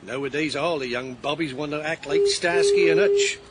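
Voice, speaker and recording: A man's voice: fairly high pitch, very noisy, lightly acted. An actor playing an older retired policeman, chatty and nostalgic, in a naturalistic crime drama. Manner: chatty, unhurried, chuckling old-timer with British slang. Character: retired policeman, older man, avuncular, nostalgic, shrewd adviser.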